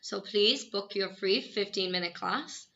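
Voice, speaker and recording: Bright-voiced Irish woman teacher. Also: medium pitch. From Ireland. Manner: friendly, encouraging, clear and measured. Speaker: English teacher. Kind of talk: self-introduction video to students. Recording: dull, slightly lo-fi sound.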